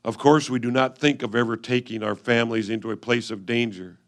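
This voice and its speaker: A man reading old letters aloud in a reader's theatre style, with a solemn, measured delivery. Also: medium pitch, fairly even intonation.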